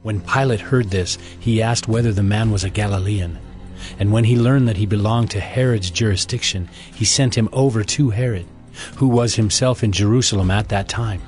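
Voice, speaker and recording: Casting: male narrator, biblical drama. Lowish register, solemn measured reading, music underneath. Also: darkish tone. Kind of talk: scripted scripture narration.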